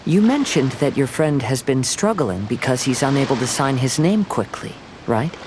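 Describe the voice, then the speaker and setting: A man playing a calm, gentle young samurai in an anime game, polite and measured in speech. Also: medium pitch, background noise, lightly acted. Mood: thoughtful.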